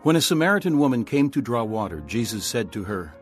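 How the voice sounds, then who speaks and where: A man narrating scripture from a script in a measured storytelling manner. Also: mid-range voice, sing-song, soft background music.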